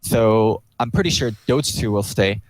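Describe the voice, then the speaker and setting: A man's voice: on the low side, a little slow, low-quality recording. A man hosting a podcast in casual chat, speaking hesitantly with many "um"s and restarts.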